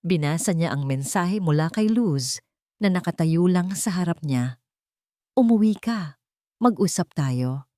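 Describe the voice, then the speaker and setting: Mababang boses ng babaeng tagapagsalaysay, may puntong Pilipino. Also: female voice, lowish voice, darkish tone, no echo, clean studio sound. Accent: Filipino. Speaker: audiobook narrator. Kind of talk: dramatic scripted story narration.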